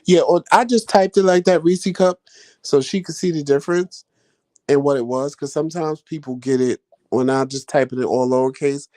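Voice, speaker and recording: A man's voice: on the high side, moderate pace, a little mellow. Chatty man hosting a live chat. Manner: chatty, rambling, folksy with y'all. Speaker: vlog host answering live chat. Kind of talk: casual live-stream chat.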